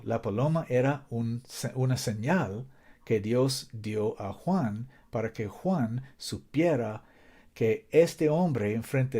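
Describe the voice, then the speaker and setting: Preacher speaking Spanish as a learner. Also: male voice, mid-range voice, warm tone. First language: not Spanish. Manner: earnest, reads scripture aloud, repeats key points. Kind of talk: Bible teaching in Spanish as a second language.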